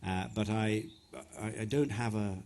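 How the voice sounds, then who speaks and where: A man's voice: fairly low pitch, a little slow, a little mellow. A middle-aged man from West Africa, an author answering audience questions at a live talk in a thoughtful, hesitant way with many restarts. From West Africa (Ghana, Nigeria). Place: event venue before an audience.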